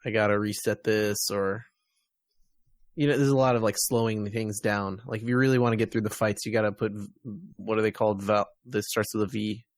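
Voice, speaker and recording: A man's voice: on the low side, fairly even intonation, a little fast, dry recording. An American man hosting a podcast, chatting casually about games and media. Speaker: podcast host.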